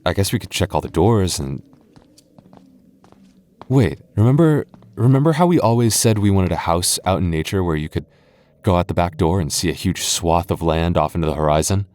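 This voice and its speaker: A young goth boyfriend, played by a man, talks close and soft in an ASMR roleplay. His voice sits low and warm, and he teases his partner fondly. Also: animated, normal speed, no room sound. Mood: affectionate. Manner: soft, intimate, playfully teasing. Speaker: voice actor.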